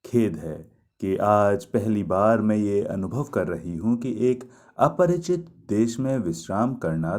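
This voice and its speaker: A man's voice: fairly low pitch, a little mellow. A man voicing a lovelorn, reproachful young woman in a mythological story, speaking in a poetic, pleading, emphatic way. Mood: wistful, bitter.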